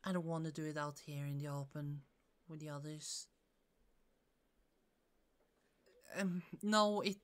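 A woman playing a hurt, fed-up office coworker in an ASMR roleplay, halting and pleading, with pauses and sighs. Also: low voice, lightly acted. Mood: nervous, hesitant. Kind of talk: ASMR roleplay, slow intimate monologue.

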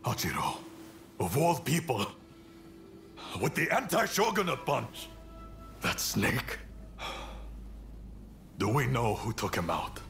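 A boisterous samurai officer for a video game, outspoken and quick to flare. His pitch is middling but leaps around widely as he speaks, over faint music. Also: very animated, gravelly, a bit bright, full character voice. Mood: shocked, indignant. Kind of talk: acted video game cutscene dialogue.

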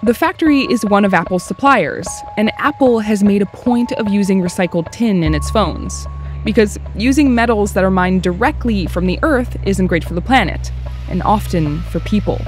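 Reporter, woman, measured news voice-over with faint music under it. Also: medium pitch. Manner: even, measured voice-over delivery. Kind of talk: scripted news narration.

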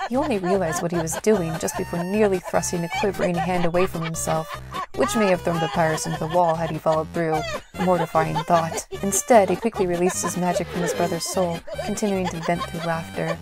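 A woman's voice: on the low side, fairly even intonation, a little fast, low-quality recording. A woman reading scripted story narration as the narrator of an audio drama. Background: music.

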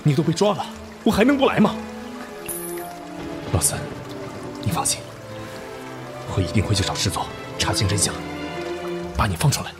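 A man's voice: mid-range voice, huge pitch swings, moderate pace, long pauses, music in the background. A man playing a passionate, betrayed young army officer in an emotional radio drama. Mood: urgent concern. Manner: impassioned, breaking into bitter laughter and hushed pleading.

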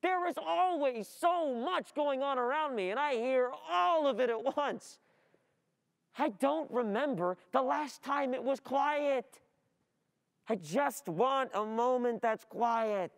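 A woman's voice: high-pitched, animated, takes it slowly, full character voice. Anxious young student played by a woman, reverberant hall. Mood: anxious, wistful. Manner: agitated, rambling inner monologue, repeating words. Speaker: actor playing a student. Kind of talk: theatrical radio-play monologue. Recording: long hall reverb of a stage.

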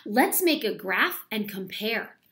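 A woman in her thirties reading a children's book aloud like a teacher, explaining deliberately and asking the young listeners questions. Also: mid-range voice, very animated, fairly bright tone. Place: small plain room at home, indoors.

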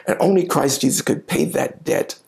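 A middle-aged man, a pastor, preaching a sermon to the camera from a home office, reading scripture and explaining it with lively gestures. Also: on the high side, moderate pace, gravelly. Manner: animated gestures, reading and explaining scripture, occasional quiet asides. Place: home office with bookshelves, indoors. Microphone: lapel mic, seated at desk.

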